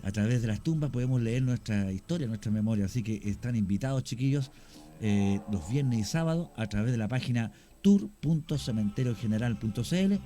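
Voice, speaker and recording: Chilean guy telling ghost stories on the radio, kinda halting, warm mellow voice, soft music under it. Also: medium pitch. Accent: Chilean Spanish. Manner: storytelling, animated with hesitations. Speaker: guest, cemetery tour guide and actor. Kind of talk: casual radio conversation. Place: radio studio.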